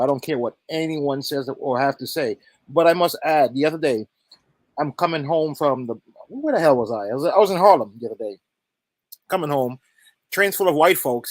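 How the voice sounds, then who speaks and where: An emphatic man with a slightly high voice tells a story with energy during a group chat. His voice is close and dry, with no room echo. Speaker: guest. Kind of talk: casual anecdote in a chat.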